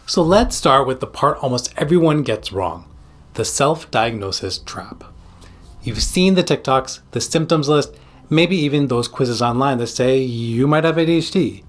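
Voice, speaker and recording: A man's voice: mid-range voice, lively intonation, unhurried. A man speaking as a psychiatrist and host, presenting a scripted educational explainer with emphatic, direct address to the listener.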